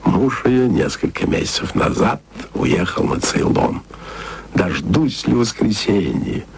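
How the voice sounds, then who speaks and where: A deep, low voice of a bookish, jealous man in a radio play, speaking to his lover in a quiet, confiding way. The tone is wry and musing, with a faint hiss in the background. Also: sing-song, takes it slowly, gravelly, full character voice. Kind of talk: intimate radio drama monologue.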